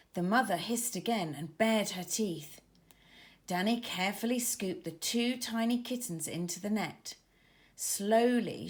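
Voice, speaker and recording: A woman narrating a scripted children's story in a dramatic, expressive manner. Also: medium pitch. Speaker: author narrator.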